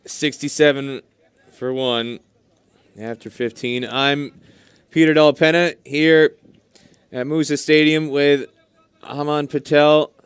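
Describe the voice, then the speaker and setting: A man's voice: on the high side, steady tone, takes it slowly, clear, resonant tone, no room sound. A man doing live cricket commentary in an enthusiastic, talkative way, explaining things as he goes. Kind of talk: live sports commentary with chatty asides.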